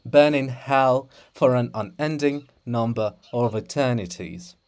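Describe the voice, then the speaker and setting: A person giving a dramatic reading of a play in a theatrical, deliberate manner, phrase by phrase. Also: medium pitch, darkish tone. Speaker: reader of a play.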